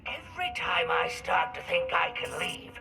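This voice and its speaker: An actor playing a bitter, aggrieved forger with a grudge in a video game, speaking emphatically. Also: male voice, high voice, big pitch swings, normal speed, vocal fry, reverberant, loud music behind the voice, heavily acted. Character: bitter, grudge-holding male forger in hiding. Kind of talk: video game cutscene acting.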